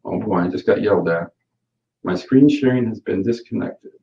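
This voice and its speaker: A man's voice: lowish voice, normal speed. Rambling Michigan man, roomy distant sound. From Michigan, USA. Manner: rambling and folksy, with frequent fillers and self-corrections. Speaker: course host. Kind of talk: casual live Q&A session. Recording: roomy, distant and muffled webinar audio.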